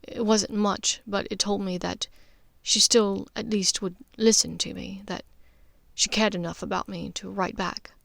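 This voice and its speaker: A woman voicing a guarded, earnest young man, a wizard son in an audiobook, in a low-key manner. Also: fairly even intonation, a little slow, vocal fry, fairly bright tone, no room sound. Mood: wistful.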